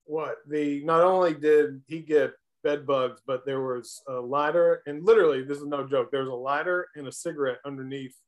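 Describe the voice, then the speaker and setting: Man, slightly high voice, casual anecdote telling, podcast guest. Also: steady tone, normal speed, hardly any pauses. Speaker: podcast guest, former baseball player. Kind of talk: casual chat, storytelling.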